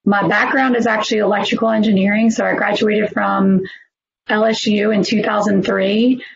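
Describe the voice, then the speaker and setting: A hoarse woman, introducing herself casually as an engineering supervisor, with many pauses and ums. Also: mid-range voice, fairly even intonation, lo-fi sound, a crowd in the background. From New Orleans, United States. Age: 40s. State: hoarse, losing her voice. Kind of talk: recruiting presentation self-introduction.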